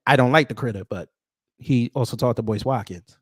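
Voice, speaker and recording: A man with an American accent hosting off-the-cuff online commentary in a sarcastic, confrontational manner with pointed pauses. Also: mid-range voice, husky, dry recording, clean studio sound. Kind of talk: off-the-cuff opinion commentary, reading posts aloud.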